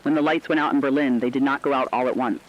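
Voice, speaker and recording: A man playing a solemn commentator recounting a catastrophe in scripted radio narration, deliberate, literary and emphatic. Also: mid-range voice, fairly even intonation, muffled recording, lightly acted.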